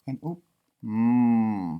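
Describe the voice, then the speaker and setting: A man reading a picture book aloud to young children as a teacher, with expressive asides and questions to his listeners. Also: medium pitch, very slow, smooth, phone-quality sound. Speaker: elementary school teacher reading storybooks aloud.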